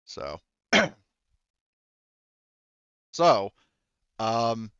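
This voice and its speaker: A man speaking as game master, casually narrating a tabletop roleplaying game in a halting, improvised way. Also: mid-range voice, sing-song, fairly bright tone, clean tone, no echo, dull audio. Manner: halting, informal, loosely improvised delivery.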